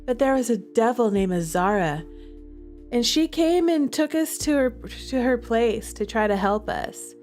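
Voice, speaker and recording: Slow, dramatic woman streamer. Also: on the high side, animated, a little mellow, soft background music. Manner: dramatic, chatty, reads game text with feeling. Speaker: game streamer. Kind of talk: playing and reading a visual novel aloud.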